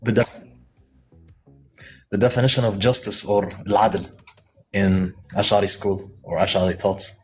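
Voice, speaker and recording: A man's voice: fairly low pitch, steady tone, measured pace, long pauses, a little hiss or hum, low-quality recording. Insistent man questioning in a live debate. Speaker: debate participant.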